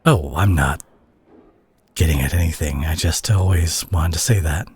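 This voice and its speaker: A man's voice: rumbling low, husky, heavily acted. A man playing a weary, worried superhero talking intimately to an injured teammate in an audio roleplay. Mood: tired, tender concern. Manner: hushed, halting, sighing, turning exasperated.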